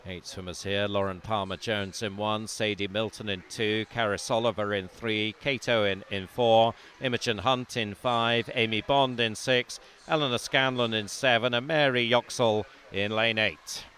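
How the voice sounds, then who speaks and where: A man, not from Wales, giving live commentary on a swimming meet, calmly reading out lanes and times and lifting his voice during close finishes. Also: on the low side, moderate pace, hardly any pauses, a little hiss or hum.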